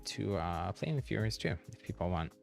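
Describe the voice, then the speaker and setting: A man's voice: fairly low pitch, lively intonation, normal speed, creaky, soft background music. A man chatting casually on a game livestream in a rambling, easygoing way. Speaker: live streamer.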